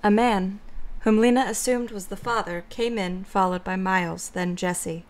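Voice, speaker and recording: A woman's voice: mid-range voice, fairly even intonation, moderate pace. A woman reading scripted story narration as the narrator of an audio drama.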